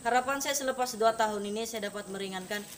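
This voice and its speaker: A woman's voice: a little high, fast, far from the mic. A sixteen-year-old young woman from Sabah, a student introducing herself to camera. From Penampang, Sabah, Malaysia. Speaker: college student.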